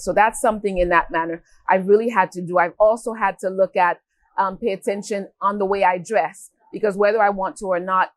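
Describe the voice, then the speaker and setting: A woman from Haiti who works for an NGO, giving earnest interview answers with frequent fillers and pauses. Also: medium pitch, no room sound. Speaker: NGO worker.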